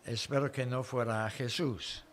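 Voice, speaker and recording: A man preaching an expository sermon in foreign-accented Spanish, explaining the story deliberately in short phrases. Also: mid-range voice, fairly even intonation, fairly bright tone.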